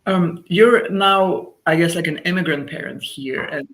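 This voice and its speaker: A male interviewer with a fairly high and very bright voice, asking his questions haltingly and searching for the right words. He comes across as curious and careful. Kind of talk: casual interview.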